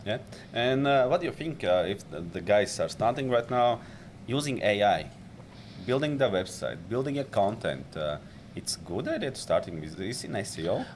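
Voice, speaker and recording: Lower-voiced guy asking the panel stuff, lots of uhs, a bit of hum behind. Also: sing-song. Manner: halting, non-native English with many fillers. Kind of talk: casual panel discussion.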